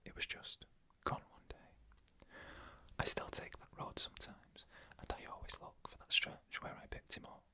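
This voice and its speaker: Sharp, bright whispering ASMR storyteller. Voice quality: breathy whisper. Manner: hushed, measured storytelling in short phrases. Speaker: narrator.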